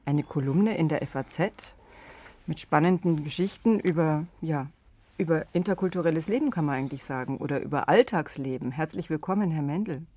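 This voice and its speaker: A woman moderating a radio discussion, asking calm, measured questions in a studio. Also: low-pitched, no echo. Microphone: studio microphone. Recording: dry studio sound.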